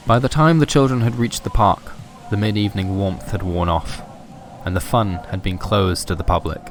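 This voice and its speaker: A man's voice: on the low side, moderate pace, faint music behind. A man narrating a scripted horror story for a fiction podcast.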